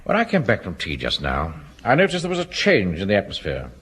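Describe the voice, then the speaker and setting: An actor with an English accent playing a pompous, easily offended middle-aged Home Guard captain in a radio sitcom. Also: male voice, deep voice, wildly swooping pitch, brisk, a bit bright, exaggerated voice. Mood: huffy, suspicious. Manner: pompous, hesitant throat-clearing. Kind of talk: British radio sitcom acting. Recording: hissy old radio comedy recording.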